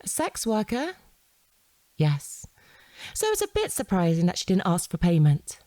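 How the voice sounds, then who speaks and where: A woman's voice: fairly low pitch, huge pitch swings, a little slow, mellow, no echo. An actress playing a suspicious, persistent wife questioning her husband in a naturalistic audio drama, in a controlled, sceptical, probing way. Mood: controlled, pointed.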